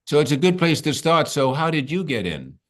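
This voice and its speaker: A man hosting an interview, asking long, halting questions full of restarts. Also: on the high side, sing-song. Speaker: interviewer and host. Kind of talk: conversational interview.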